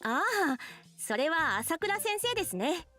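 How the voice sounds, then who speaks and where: A woman's voice: very high-pitched, sing-song, moderate pace, bright, forceful tone, soft background music, exaggerated voice. A woman playing a polite, helpful clinic nurse in a visual novel, with a courteous service tone. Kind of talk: Japanese visual novel voice acting.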